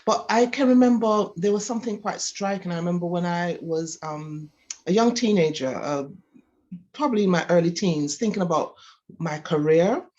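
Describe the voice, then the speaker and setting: A woman's voice: fairly low pitch, a little mellow, dull audio. A woman with a Jamaican accent answering interview questions as a physiotherapist, explaining and talking about herself. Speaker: physiotherapist guest.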